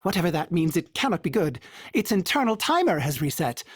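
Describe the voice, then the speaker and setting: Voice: mid-range voice, big pitch swings, brisk, slightly rough, no room sound, studio quality. An actor voicing a cheerful, fussy male ship AI who speaks formally, calls himself by name and stutters with glitchy repeats. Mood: fretful. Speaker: ship companion AI. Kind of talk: video game voice lines.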